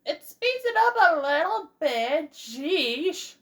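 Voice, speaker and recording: High-voiced, unhurried woman gamer. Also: sing-song. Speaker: gamer, let's player. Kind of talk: casual gameplay commentary.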